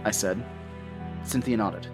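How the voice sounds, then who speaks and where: An actor playing a haunted, grieving father, narrating a horror audio drama in the first person with somber, deliberate phrasing. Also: lowish voice, lightly acted. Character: haunted, grieving father recounting his ordeal. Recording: loud guitar music under narration.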